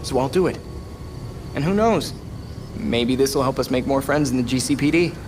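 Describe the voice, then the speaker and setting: A man's voice: medium pitch, normal speed, background noise, close to an everyday voice. An actor playing an eager young male vigilante sidekick in a video game, with an earnest, boyish manner. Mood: determined, hopeful. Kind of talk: video game cutscene acting.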